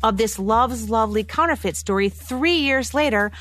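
A woman's voice: fairly high pitch, moderate pace, fairly bright tone. An American woman co-hosting a radio show, delivering scripted commentary on classic broadcasts.

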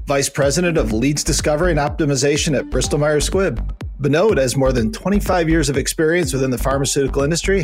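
A man hosting a science podcast, reading an introduction and asking loose, rambling questions. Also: mid-range voice. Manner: loose, filler-heavy questioning. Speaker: podcast host.

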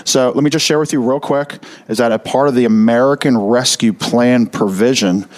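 A middle-aged man talks listeners through retirement money matters in an energetic, emphatic way. He slows right down to stress each key figure. His voice sits at an ordinary middle pitch for a man. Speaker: retirement financial advisor. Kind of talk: explanatory talk to listeners.

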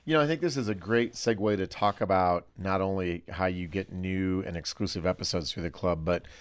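Low-voiced man hosting an interview. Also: a little fast, clean tone, no echo. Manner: inquisitive, informal. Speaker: podcast host, interviewer. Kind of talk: casual interview questioning.

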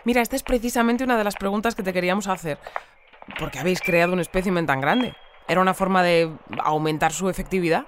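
An actress playing a composed female news presenter delivering a scripted bulletin in a measured way. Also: on the low side, slight background noise, close to an everyday voice. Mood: inquisitive. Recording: music bed behind the voice.

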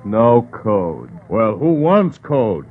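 An actor playing an earnest, determined early radio experimenter in 1940s radio drama style. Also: male voice, fairly low pitch, very animated, extremely slow, a little hiss or hum, exaggerated voice. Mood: surprised, eager. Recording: tinny 1940s radio broadcast, faint music.

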